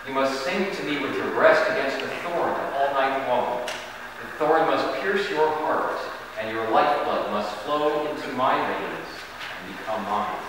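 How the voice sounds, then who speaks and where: Ancient rose tree, acted by a man: ominous, grave, mid-pitched, far off in an echoing hall. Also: expressive, a little slow, lightly acted. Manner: solemn, ominous. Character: grave, foreboding old male red rose tree. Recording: very echoey hall, distant handheld camera, noisy.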